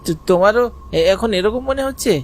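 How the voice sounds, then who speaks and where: Man acting a timid, higher-voiced young village fisherman. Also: animated, a little fast. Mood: fearful. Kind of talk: cartoon horror dialogue.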